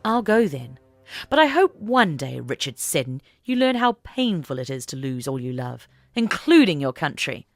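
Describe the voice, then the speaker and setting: A woman's voice: low-pitched, big pitch swings, moderate pace, no echo, soft background music. A woman voicing a proud female agent in an audiobook story, earnest and emotional. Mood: bitter defiance.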